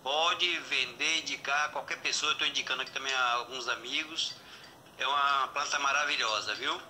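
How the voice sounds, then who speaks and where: A man's voice: medium pitch, fairly even intonation, moderate pace, sharp tone, a little hiss or hum. A man leaving a casual voice message as a satisfied customer. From Bahia, Brazil. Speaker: customer giving a testimonial. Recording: voice note replayed through phone speaker.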